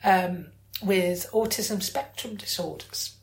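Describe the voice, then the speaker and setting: A woman's voice: mid-range voice, takes it slowly, vocal fry. Woman chatting casually in an echoey room. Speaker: podcast host. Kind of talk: casual chat.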